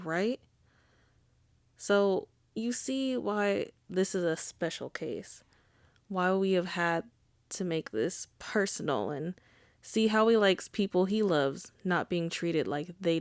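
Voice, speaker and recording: A woman's voice: fairly low pitch, vocal fry, dry recording. A woman playing a wry, charming female mafia boss in an intimate ASMR roleplay, conversational and teasing. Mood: dry, sardonic. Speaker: audio roleplay voice actor.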